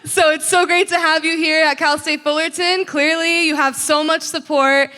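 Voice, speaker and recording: A woman's voice: sits high, fairly even intonation, moderate pace, sharp tone. Upbeat young woman interviewing in a hall. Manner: upbeat, laughs between questions. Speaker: student interviewer. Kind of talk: scripted interview questions. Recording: long hall reverb.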